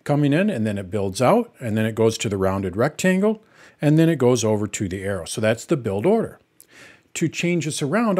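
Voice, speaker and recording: Middle-aged man, tutorial host, patient step-by-step explaining, mid-pitched voice. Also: a little mellow. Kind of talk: screen-recorded software tutorial.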